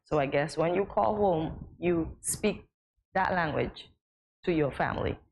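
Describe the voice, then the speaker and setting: Woman cohost on morning tv, lowish voice, chatty, agreeing a lot. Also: lively intonation, gravelly, darkish tone, slightly rough. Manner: chatty and agreeable, quick to add her own point. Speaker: morning show co-host. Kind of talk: casual studio chat. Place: TV studio.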